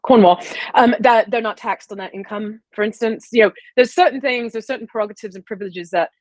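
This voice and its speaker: A woman's voice: a little high, fairly quick, dry recording. Thoughtful woman with a dry close sound. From American South. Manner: thoughtful, many fillers and restarts. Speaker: guest historian. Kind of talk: casual interview conversation.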